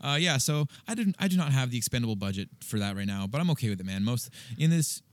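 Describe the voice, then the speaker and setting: A man's voice: mid-range voice, animated, fast, no room sound. A man in his thirties hosting a casual sports podcast, bantering easily and laughing often. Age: 30s. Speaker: podcast host.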